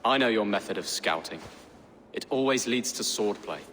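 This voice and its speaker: A man's voice: lowish voice, lively intonation, normal speed, fairly bright tone, in a large hall, slight background noise. An actor playing a wary, dry-witted man of a war band in a video game, speaking skeptically. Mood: dry distrust. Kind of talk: dramatic video game acting.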